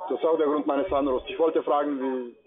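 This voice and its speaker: A man's voice: a little high, steady tone, fairly quick. Male call centre agent amid chatter. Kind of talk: phone sales introduction. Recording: tinny phone line with busy crowd.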